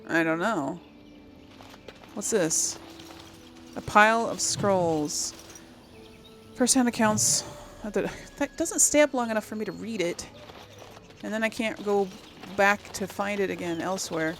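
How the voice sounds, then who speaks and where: A woman gives casual commentary as she plays a game, chatting and thinking aloud. She speaks slowly in a creaky, mid-pitched voice, with faint noise in the background. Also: fairly bright tone. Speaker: gamer, series host. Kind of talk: casual let's play commentary.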